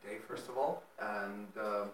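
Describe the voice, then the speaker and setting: A man's voice: on the low side, fairly even intonation, a little slow. A young man from Serbia, a student, earnestly laying out a long proposal as an audience question at a panel. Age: young adult. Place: lecture room. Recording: echoey room, distant mic, faint noise.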